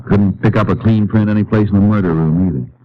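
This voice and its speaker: Actor as laconic American Texas Ranger, muffled old radio. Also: male voice, on the low side, quick pace, slight background noise, natural voice. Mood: dry, determined. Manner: dry, clipped, folksy and understated. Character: laconic, determined Texas Ranger investigator, narrating his case. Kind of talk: 1950s radio drama acting and narration.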